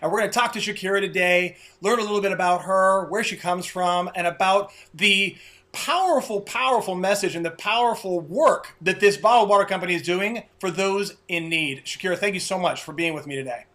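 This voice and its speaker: A man's voice: high voice, brisk, pushed and bright. A man hosting an interview, speaking emphatically and repeating words for effect. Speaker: interview host.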